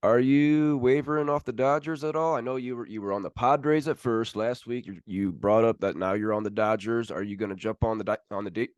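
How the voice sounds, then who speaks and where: A man's voice: mid-range voice, steady tone, fairly quick, hardly any pauses, clean tone, no room sound, highs cut off. A man hosting a sports podcast, giving casual commentary as he runs through standings.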